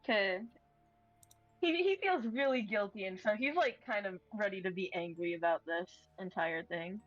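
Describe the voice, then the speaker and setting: A woman's voice: mid-range voice, normal speed, a bit bright, no room sound. A woman player with a mid-range voice talks casually and a bit uncertainly through a tabletop game. The recording is dry and somewhat muffled, with faint music underneath.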